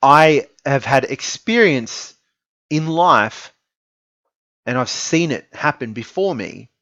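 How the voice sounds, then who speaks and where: Low-voiced Australian man, earnest and emphatic. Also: lively intonation, takes it slowly, bright, forceful tone, dry recording, muffled recording. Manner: earnest, emphatic, repeats phrases for stress. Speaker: esports content creator giving an opinion piece. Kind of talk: informal opinion monologue.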